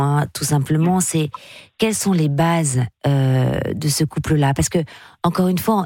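A woman with a deep, low voice hosts a late-night radio call-in show. She is chatty and talks things through with her callers. Her voice is recorded dry and close on a studio microphone. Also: darkish tone, no room sound.

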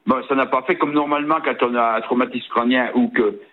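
A man's voice: medium pitch, steady tone, fairly quick. Older man with a regional accent on a phone line. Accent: Vosges French. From Vosges, France. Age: 60s. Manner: hesitant, many fillers and restarts. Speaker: caller. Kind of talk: phone-in call to a radio show.

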